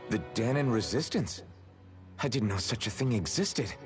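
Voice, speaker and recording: An actor voicing an earnest young masked warrior in a video game, searching and puzzled in tone. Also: male voice, on the low side, animated, moderate pace, natural voice. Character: masked young male swordsman, earnest and confused. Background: game music.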